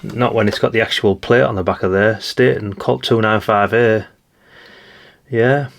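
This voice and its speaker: A British man with a gravelly voice giving a casual, rambling hands-on commentary as he thinks aloud. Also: on the low side, steady tone, normal speed. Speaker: hobbyist radio channel host.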